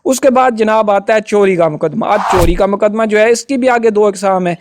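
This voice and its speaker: High-pitched Pakistani man explaining, lecturer style. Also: brisk, noisy background. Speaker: law lecturer.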